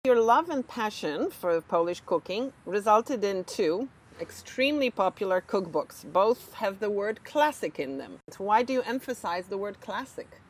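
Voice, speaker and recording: A woman interviewing guests, asking animated, probing questions. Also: mid-range voice, measured pace, hardly any pauses, husky.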